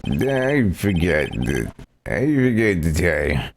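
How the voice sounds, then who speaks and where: A man voicing a doddering, folksy old noodle shop owner for a video game, halting and muddled as he rambles. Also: low-pitched, big pitch swings, creaky, pitched below the performer's own voice, heavily acted. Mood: rambling. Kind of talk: hammy video game voice-over. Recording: game music behind the voice.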